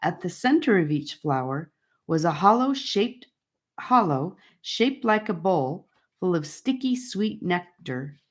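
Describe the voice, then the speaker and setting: A woman narrating a fantasy audiobook in a measured, deliberate storybook style. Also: on the low side.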